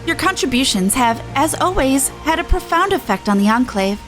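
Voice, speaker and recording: A woman's voice: sits high, very animated, moderate pace, soft background music. A woman voicing a courteous, formal quest-giver, reading video game dialogue aloud. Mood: pleased, formal. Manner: polite, formal, expository.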